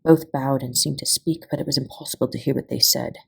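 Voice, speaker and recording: Low, husky woman reading a story. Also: fairly even intonation, a little fast, fairly bright tone. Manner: dramatic, puts on voices for dialogue. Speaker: volunteer story reader. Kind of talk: expressive children's audiobook reading.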